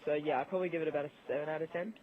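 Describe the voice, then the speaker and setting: Male gaming-chat guest, fairly high and flat delivery, phone-like sound with faint noise. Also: rapid-fire. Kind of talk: casual chat.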